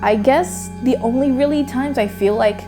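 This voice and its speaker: A woman's voice: high voice, moderate pace, music in the background. A nineteen-year-old woman from Myanmar, a native Burmese speaker, speaking candidly in a personal interview with hesitant restarts. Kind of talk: personal testimony.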